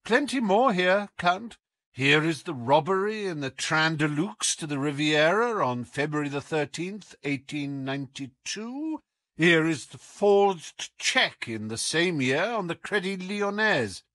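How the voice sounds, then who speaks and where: A man voicing a sharp, commanding detective in an audiobook, coolly taunting, with deliberate, teasing emphasis. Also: a little high, animated, no echo, voice pushed up. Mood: accusing. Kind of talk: audiobook character acting.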